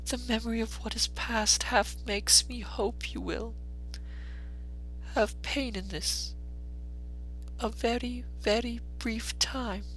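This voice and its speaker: A woman playing a gentle, steadfast, sorrowful young woman in a dramatic storybook reading, measured and solemn. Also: a little high, fairly even intonation, a little hiss or hum, rough recording. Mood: sorrowful resolve.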